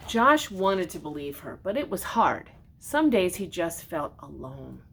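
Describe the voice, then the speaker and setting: Creaky-voiced older woman reading a storybook. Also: measured pace. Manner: expressive, explains pictures gently in simple words. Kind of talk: read-aloud children's storybook with simple asides. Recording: noticeable background noise with low rumble.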